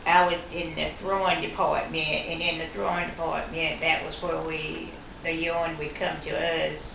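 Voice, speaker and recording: A woman's voice: low-pitched, level tone, moderate pace, gravelly. A woman in her sixties from North Carolina, a former mill worker, talking easily about her old job. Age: 60s. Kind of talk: casual interview. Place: living room. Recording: dull roomy audio with background noise.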